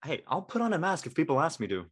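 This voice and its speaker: A man's voice: a little high, big pitch swings, fast talker, a little hiss or hum. An actor playing a prying, contrarian teenage boy in casual, slangy drama dialogue. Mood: argumentative.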